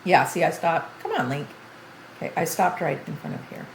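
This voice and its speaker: An older woman streaming a video game tutorial, chatty and thinking aloud as she plays, her voice going a bit hoarse. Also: deep voice, lively intonation, normal speed, reverberant, slight background noise, poor audio. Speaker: gaming streamer. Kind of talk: game tutorial livestream commentary.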